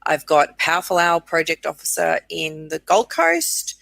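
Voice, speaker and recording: A woman's voice: sits low, sing-song, normal speed, gravelly, fairly bright tone, no room sound. An Australian woman being interviewed, explaining programs in an enthusiastic, conversational way. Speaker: guest, urban bird programs manager.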